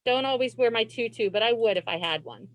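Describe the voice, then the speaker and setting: Animated woman reading to children. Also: a little high, no echo, low-quality recording. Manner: expressive, deliberate phrasing for children. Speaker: librarian and read-aloud host. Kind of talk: children's storybook read-aloud. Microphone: video call.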